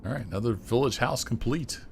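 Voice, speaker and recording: A man streaming a city-building game, chatting casually and thinking aloud as he plays, his voice a little rough. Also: medium pitch, brisk, slight background noise. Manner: relaxed, thinking aloud with fillers and restarts. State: slightly rough voice. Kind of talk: casual gameplay commentary.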